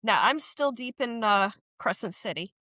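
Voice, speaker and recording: A woman with a slightly high, stuffy voice from a cold, steering a casual book podcast. She keeps restarting with "now" as she picks up each new topic. The sound is dry but a bit dull and lo-fi. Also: lively intonation, moderate pace, pushed and bright, no echo. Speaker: podcast co-host. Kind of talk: casual chat about books.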